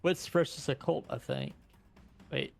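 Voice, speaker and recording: A man's voice: a little high, unhurried, vocal fry, faint music behind. A man chatting casually as a player in a tabletop roleplaying game. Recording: faintly noisy livestream audio.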